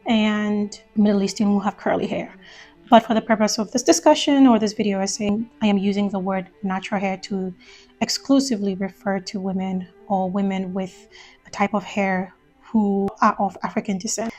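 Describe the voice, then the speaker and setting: Woman, from Ghana, measured and explanatory, mid-pitched voice, faint music underneath. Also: darkish tone. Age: adult, lived years in the US. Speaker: video essayist. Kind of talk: video essay commentary.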